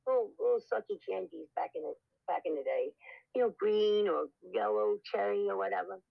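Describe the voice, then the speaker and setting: An older speaker tells a story with a deeply gravelly, husky rasp. The delivery wanders and keeps stopping to restart. The recording is dry and a little dull. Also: fairly quick, no room sound. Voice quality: raspy. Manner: rambling, lots of restarts and "you know". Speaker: guest. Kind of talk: casual storytelling in an interview.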